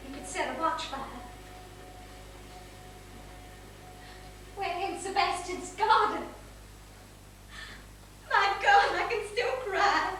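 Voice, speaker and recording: A woman's voice: high-pitched, sharp tone. A woman on stage playing a fragile, defiant young asylum patient, emotional and petulant. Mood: bitter, fragile. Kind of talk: stage play acting. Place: small theatre stage. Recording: very echoey theatre, distant mic, noisy.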